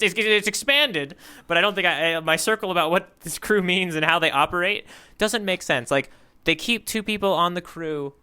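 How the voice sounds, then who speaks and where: A man co-hosting a podcast in casual chat, hesitant and halting, laughing often. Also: fairly high pitch, sharp tone, clean tone. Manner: hesitant, full of restarts and fillers, laughs often. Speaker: podcast co-host.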